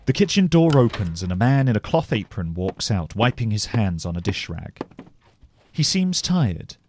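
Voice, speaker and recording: A man narrating a scripted fantasy story as a measured, descriptive storyteller. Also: fairly low pitch, very animated, darkish tone, a little hiss or hum.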